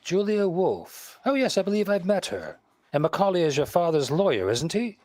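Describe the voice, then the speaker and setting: An actor playing a suave, wisecracking married detective, breezy and teasing, in a 1930s radio style. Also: male voice, high voice, big pitch swings, normal speed, a little mellow, no echo. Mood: breezy, affable.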